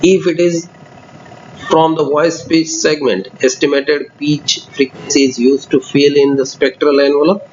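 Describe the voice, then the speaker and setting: A man's voice: on the high side, level tone, unhurried, darkish tone. A man lecturing through a technical block diagram. He reads textbook-style sentences and then adds loose spoken remarks of his own. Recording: dull lo-fi recording, faint noise.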